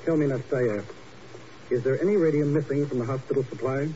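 An actor as an urbane hospital doctor with a mellow, medium-pitched voice. He speaks formally and measuredly, courteous but guarded and a touch patronizing. It is a muffled, noisy 1950s radio recording. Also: a little fast, natural voice. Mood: smooth, guarded. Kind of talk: 1950s radio drama acting.

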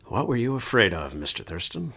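An actor playing a world-weary, sardonic Boston police detective who asks probing questions in a radio drama. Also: male voice, mid-range voice, big pitch swings, brisk, vocal fry, pushed and bright, slight background noise, full character voice. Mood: musing. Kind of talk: 1920s-style radio drama acting.

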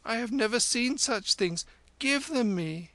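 A man's voice: very high voice, animated, a little slow, pushed and bright, dry recording, voice pushed up, exaggerated voice. A man voicing a small, scared and demanding child in a storybook reading.

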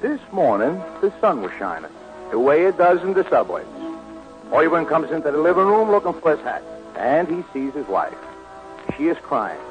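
A streetwise, luckless gambler, spins his story in slangy radio-comedy style. His voice sits fairly high for a man. The sound is a dull vintage broadcast with clear music. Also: big pitch swings, moderate pace. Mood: wry narrating. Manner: streetwise, ungrammatical, colloquial storytelling. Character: down-on-his-luck wisecracking horse-betting gambler narrating his tale. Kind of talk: 1940s radio comedy acting. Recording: muffled old 1940s radio broadcast, music.

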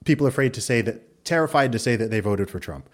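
A man who grew up in Saudi Arabia, hosting a podcast interview and talking in a measured, reflective way through long arguments. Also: mid-range voice, expressive. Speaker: podcast host. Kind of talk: conversational interview.

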